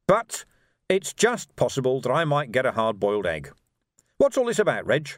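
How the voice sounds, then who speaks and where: A man's voice: mid-range voice, huge pitch swings, normal speed, no room sound. Man playing a weary, sardonic police sergeant. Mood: sarcastic. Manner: dry, blunt, pragmatic.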